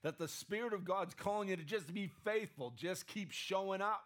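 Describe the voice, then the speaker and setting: Male pastor, sermon, conversational, strained voice, somewhat high pitch. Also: moderate pace, fairly bright tone. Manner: conversational, repeats "right?" to the room. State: strained voice that cracks.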